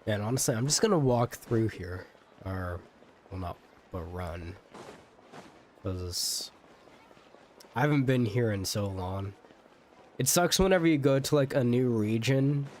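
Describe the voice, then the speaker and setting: A man livestreaming a game, chatting casually and unscripted in a rambling, halting way. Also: medium pitch, darkish tone, slight background noise. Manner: rambling, repeats words haltingly. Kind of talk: casual unscripted gameplay chat.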